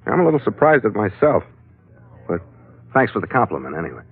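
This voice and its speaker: An actor playing a tough, wry sea captain, talking tersely in the hard-boiled manner of 1940s radio drama. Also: male voice, on the low side, very animated, fast, breathy edge, exaggerated voice. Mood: guarded. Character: tough, wry American sea captain narrating his adventures. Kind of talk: 1940s radio drama acting and first-person narration. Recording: muffled vintage radio recording, music behind.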